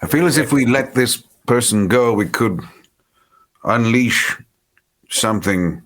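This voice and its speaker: Casting: male player for a stern tabletop-game vampire, mid-pitched, animated intonation, formal old-fashioned manner. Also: unhurried. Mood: measured.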